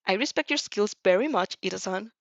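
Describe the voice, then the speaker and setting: A woman's voice: mid-range voice, expressive, brisk, creaky, no room sound, lo-fi sound. A woman voicing a caring, determined young heroine student in an earnest, emotional audio story. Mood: firm, gentle.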